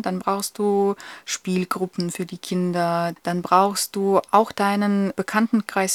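A woman explains her field in an interview, leaning on examples and little tag questions. Her voice sits in a middle pitch and is recorded dry and close, with hardly any room around it. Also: no room sound. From Russia. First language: Russian. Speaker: interviewed lecturer.

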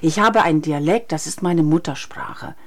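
A woman with a notably deep voice speaks as a guest in a radio interview. She is a speech trainer who thinks aloud, measured and deliberate, and lists her points one by one.

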